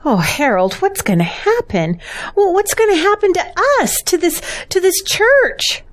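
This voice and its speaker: An actress playing an anxious, fretful pastor's wife in an audio drama, worried and emotional. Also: female voice, very high-pitched, very animated, measured pace, exaggerated voice.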